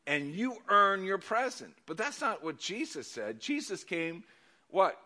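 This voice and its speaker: Older male pastor, loud and animated, bright forceful tone, slightly high voice. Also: unhurried. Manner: animated, loud storytelling with asides. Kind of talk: sermon.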